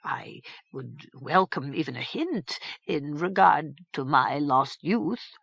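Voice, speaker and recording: A timid young man who speaks slowly and hesitantly, as a woman reader puts him on. The recording is close and dry. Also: deep voice, lively intonation, creaky, fairly bright tone, breathy edge, dry recording, dull audio, exaggerated voice.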